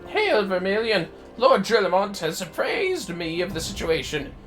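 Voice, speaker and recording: A man's voice: sits high, sing-song, moderate pace, fairly bright tone, voice pushed up. A man voicing a formal fantasy guard from a video game, hailing and announcing orders in archaic, declamatory speech. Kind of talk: reading video game dialogue in character. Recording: game music behind the voice.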